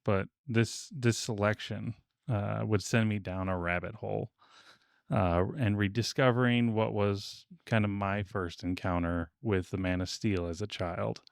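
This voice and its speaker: Low-voiced man hosting, very dry sound. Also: fairly even intonation, measured pace, gravelly, clear, resonant tone, no echo. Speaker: podcast host. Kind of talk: casual discussion with research notes.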